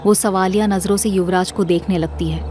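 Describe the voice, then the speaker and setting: A woman's voice: medium pitch, steady tone, fairly quick, mellow, soft background music. A woman reading scripted narration for an audio drama series.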